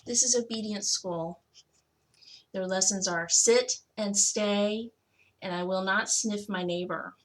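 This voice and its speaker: A woman's voice: medium pitch, steady tone, slow, slight background noise, poor audio. A woman reading a children's picture book aloud expressively, stretching out key words for emphasis. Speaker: school technology coordinator reading to children.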